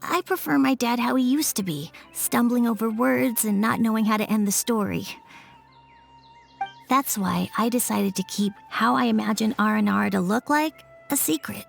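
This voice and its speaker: A woman voicing a shy, earnest young boy who speaks softly and hesitantly in a game. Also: fairly high pitch, moderate pace, gravelly, faint music behind. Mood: wistful. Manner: soft, hesitant storytelling. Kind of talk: game voice acting.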